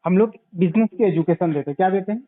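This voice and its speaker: A man giving a didactic sales pitch as a consultant over the phone, repeating points and checking back with questions. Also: fast, dry recording. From Bihar, India. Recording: narrow, tinny phone call recording.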